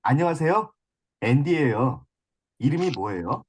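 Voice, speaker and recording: A woman reading her lines in a scripted listening-practice dialogue, clear and deliberate. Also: a bass voice, big pitch swings, darkish tone.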